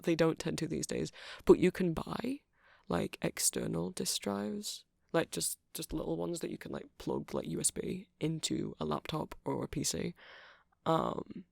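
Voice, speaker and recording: A man's voice: a little high, creaky, dry recording, studio quality, exaggerated voice. A man playing a nerdy vampire who keeps a music shop, rambling eagerly and apologetically in a casual ASMR roleplay. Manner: hesitant, apologetic, excitable rambling.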